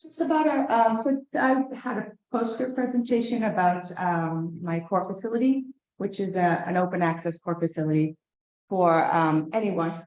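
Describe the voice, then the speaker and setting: A woman directing a lab core facility, giving a conference talk in a loud voice with frequent fillers. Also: fairly low pitch, lively intonation, normal speed, highs cut off. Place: conference hall.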